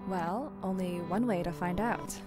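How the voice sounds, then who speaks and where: A woman's voice: fairly low pitch, a little slow, natural voice. A woman playing a secretive, playful female co-worker in an audio drama, with breezy, teasing banter. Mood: curious, lively. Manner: breezy, flirty banter with laughs. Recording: music bed behind the voice.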